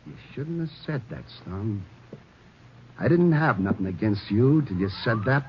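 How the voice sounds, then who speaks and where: An American actor playing a desperate, bitter escaped killer in a 1950s radio drama, taunting in rough street slang. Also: male voice, lowish voice, expressive, moderate pace, lightly acted. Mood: bitter, menacing. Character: desperate, bitter escaped killer, gutter-raised tough guy. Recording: noisy, dull old radio recording.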